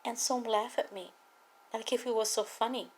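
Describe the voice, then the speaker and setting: A woman from Cuba with a Cuban accent, a native Spanish speaker, giving an earnest, emphatic personal testimony and political appeal with deliberate repetition. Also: fairly high pitch, steady tone, a little hiss or hum. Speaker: political activist speaking to viewers.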